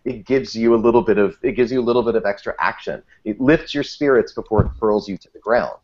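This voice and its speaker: A man's voice: lowish voice, quick pace, darkish tone, dull audio. A man speaking as a podcast guest in a casual panel discussion, with a jokey, playfully theatrical manner.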